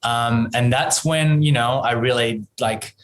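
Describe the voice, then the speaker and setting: A man's voice: mid-range voice, measured pace, slight background noise. Brief: Australian man, 30s, interview guest, loose and chatty, lots of filler words. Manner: relaxed, rambling, full of ums and you-knows. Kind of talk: casual interview chat.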